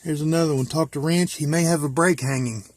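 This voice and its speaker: An American man from West Virginia talking casually and informally as he shows things, pausing to think aloud. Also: on the high side, fairly even intonation. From West Virginia, USA. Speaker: hobbyist vlogger. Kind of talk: casual show-and-tell.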